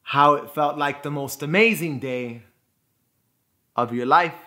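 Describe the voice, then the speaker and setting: A man performing a dramatic poetic monologue, phrasing deliberately and emphatically with pauses for effect. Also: fairly high pitch, bright, forceful tone. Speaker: spoken word performer.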